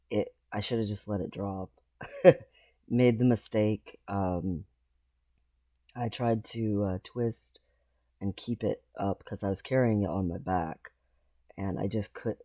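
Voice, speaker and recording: A man talking casually in a personal vlog, rambling and halting, with frequent pauses. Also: lowish voice, warm tone, dry recording. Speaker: vlogger.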